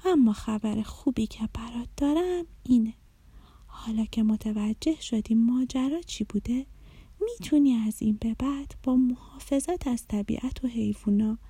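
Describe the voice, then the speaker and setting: A woman voicing a kind, gentle female dream fairy in a children's story, speaking softly and tenderly. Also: fairly high pitch, breathy edge, no room sound. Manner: soft, hushed, tender.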